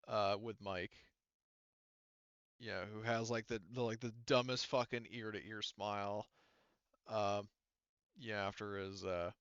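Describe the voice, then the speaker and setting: A man narrating a tabletop game as game master in a casual, halting, rambling manner. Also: on the low side, fairly bright tone, clear, resonant tone, dry recording, muffled recording. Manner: halting, rambling, filler-heavy explanations.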